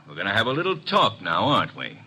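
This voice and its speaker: An actor playing a gruff, sarcastic, bullying police inspector in old radio detective drama, brusque and commanding. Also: male voice, on the low side, wildly swooping pitch, fast talker, sharp tone, slight background noise, full character voice. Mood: sarcastic, menacing. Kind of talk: 1940s-50s radio detective acting.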